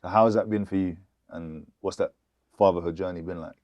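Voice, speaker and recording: A man's voice: sits low, steady tone, a little fast, a little mellow, no room sound, lo-fi sound. A man with an urban British accent interviewing someone casually, with informal slang and affirming remarks.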